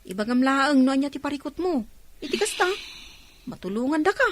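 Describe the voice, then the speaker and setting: An actress playing a concerned, supportive young friend in an Ilocano radio drama. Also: female voice, sits high, animated, a little fast, a little hiss or hum. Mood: concerned, shocked.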